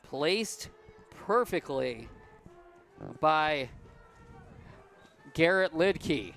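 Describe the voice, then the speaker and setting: A man doing play-by-play for a high school football game, calm and unhurried, his voice a bit worn and cracking. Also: gravelly. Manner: calm, unhurried play calling with easy laughs. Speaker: play-by-play announcer.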